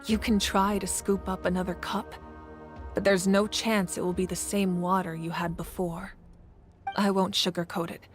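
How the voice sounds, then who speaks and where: A woman's voice: fairly low pitch, close to an everyday voice. Woman playing a composed, authoritative female leader over music. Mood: grave, explanatory. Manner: measured, deliberate, serious. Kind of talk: video game character acting.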